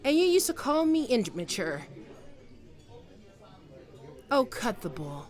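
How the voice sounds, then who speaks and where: A woman playing a flustered, wistful but proud writer facing a former lover in an intimate audio roleplay, halting and sighing as she speaks. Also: a little high, sing-song, darkish tone, soft background music. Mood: indignant, defiant. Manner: hesitant, halting, with sighs and trailing off. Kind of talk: intimate ASMR roleplay acting.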